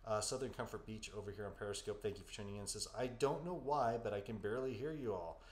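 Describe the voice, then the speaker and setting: A man's voice: fairly low pitch, quick pace. A man co-hosting a live psychic podcast, giving readings and advice in a hesitant, reassuring way full of "um"s. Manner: hesitant, filler-heavy, reassuring. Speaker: psychic medium podcast co-host. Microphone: studio mic. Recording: echoey room with faint background noise.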